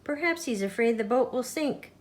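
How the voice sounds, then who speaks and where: A woman's voice: fairly high pitch, lively intonation, normal speed, voice pushed up, close to an everyday voice. A mischievous young boy quokka from a picture book, played by a woman. He talks in a playful, teasing way, like a cheeky pal. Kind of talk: children's storybook character reading.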